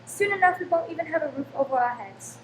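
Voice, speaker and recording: A high, fretful voice of a careworn Victorian landlady acted by a woman in a radio play. She is anxious and sharp-tongued, complaining dramatically. The room echoes, with faint noise behind her. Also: animated, fast talker. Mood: bitter, worried. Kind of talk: old-time radio play acting. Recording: roomy stage recording, faint background noise.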